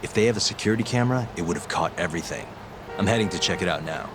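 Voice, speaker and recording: A cool, determined investigator with a low, lively voice. He talks casually and understated, like a crime game's leading man, with music clearly behind him. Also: racing. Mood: matter-of-fact. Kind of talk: video game cutscene acting.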